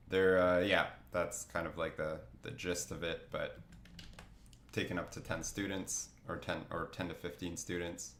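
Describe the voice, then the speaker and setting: Low male voice, sniffly from a cold, loose rambling livestream talk, roomy faint-noise recording. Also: a bit bright. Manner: rambling, many fillers and restarts. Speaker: artist streaming a drawing session. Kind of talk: casual livestream chat while drawing.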